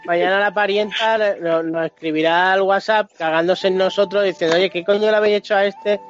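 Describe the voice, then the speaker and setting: A man's voice: high voice, fairly even intonation, fairly quick. A man with a Spain Spanish accent chatting casually and animatedly about video games, in slangy, sweary speech. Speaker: podcast panelist. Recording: dull lo-fi audio, music behind.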